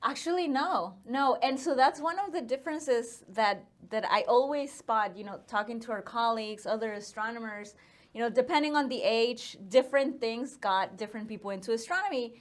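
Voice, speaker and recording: Higher-voiced Mexican woman telling her story. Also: normal speed. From northern Mexico. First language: Spanish. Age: 30s. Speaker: interview guest, astronomer. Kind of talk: casual conversational storytelling.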